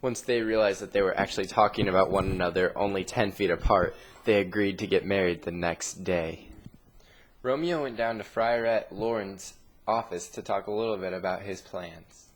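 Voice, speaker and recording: A man reading a scripted story narration with dramatic pauses, in a noisy amateur recording. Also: low voice.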